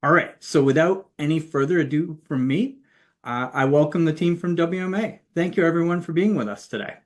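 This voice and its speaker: A man hosting an online presentation, introducing the guest team in a friendly, relaxed way. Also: a little high, fairly quick. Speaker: host. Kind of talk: webinar introduction.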